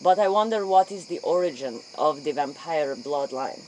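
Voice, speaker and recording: A woman's voice: low-pitched, animated, moderate pace, a little mellow, low-quality recording. Woman acting as curious late-night interviewer, broken English, earnest rambling questions, spoof role play, light insect noise. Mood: pondering, earnest. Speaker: interview host.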